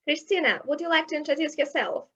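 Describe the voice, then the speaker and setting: High-pitched woman hosting, slow and upbeat, kinda dull lo-fi call audio. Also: a bit bright. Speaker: students' union coordinator, host. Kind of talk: hosting an online talk.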